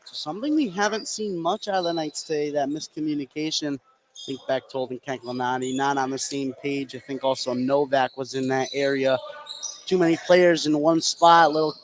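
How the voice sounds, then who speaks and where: A man with a slightly high voice works as color commentator on a basketball game. He talks through plays in a conversational way, with faint gym noise behind him. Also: fairly even intonation, normal speed. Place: at courtside in an indoor gymnasium.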